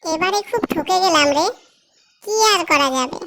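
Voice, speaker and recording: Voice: very high-pitched, moderate pace, bright, forceful tone, pitched above the performer's own voice, full character voice. A narrator voicing a big, gullible, scheming crocodile in a children's cartoon folktale, chatty and whiny. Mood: aggrieved, grumbling.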